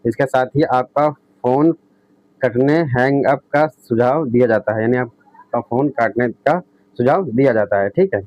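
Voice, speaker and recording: A man's voice: mid-range voice, unhurried, darkish tone, no echo. A man explaining tech as a video host, reading out points and restating them with frequent checking tags. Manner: repetitive, often adds a checking tag. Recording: dull lo-fi close recording.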